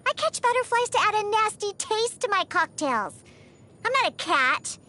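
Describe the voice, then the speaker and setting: A woman's voice: very high voice, big pitch swings, normal speed, sharp tone, full character voice. A woman voicing a proud, grumpy young cat-girl bartender in a video game, huffy and stammering when flustered. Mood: proud, guarded. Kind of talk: video game character voice lines.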